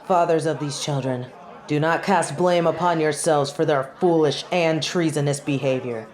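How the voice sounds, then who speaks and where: A woman's voice: low-pitched, moderate pace, a little mellow, some chatter behind, natural voice. A woman playing a haughty, sardonic young female judge in a dramatic audio roleplay, speaking in formal, imperious, theatrical phrases. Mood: scornful, commanding. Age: young adult.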